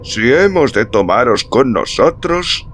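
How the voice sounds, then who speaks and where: A man voicing a stern religious leader of about thirty, solemn, forceful and declamatory. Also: mid-range voice, big pitch swings, slow pace, slight background noise, voice pushed up.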